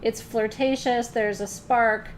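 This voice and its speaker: A woman's voice: on the high side, steady tone, slow pace, slight background noise. A woman teaching a live spiritual class in a loose, conversational way, often checking in with her listeners. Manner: loose, frequent fillers and restarts.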